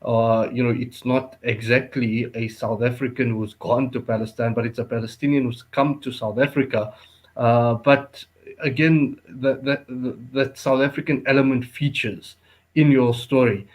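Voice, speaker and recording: A male interview host leads the conversation with a mid-pitched voice. He pauses often, stammering and leaning on fillers as he frames his questions. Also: fairly even intonation. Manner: hesitant, lots of fillers. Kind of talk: interview moderation.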